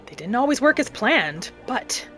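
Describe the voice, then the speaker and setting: A woman voicing an earnest, vengeful young battle mage in a dramatic, deliberate first-person fantasy roleplay. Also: on the high side, sing-song, fairly bright tone, soft background music, heavily acted. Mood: reflective. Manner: dramatic, deliberate, thinking aloud in character.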